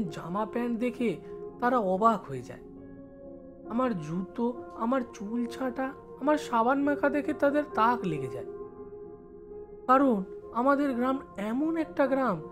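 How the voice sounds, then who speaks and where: A man playing a village schoolboy who studies in the city, telling his story in expressive first-person narration. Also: extremely high pitch, heavily acted. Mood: reflective. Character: village schoolboy studying in Kolkata, recalling his life.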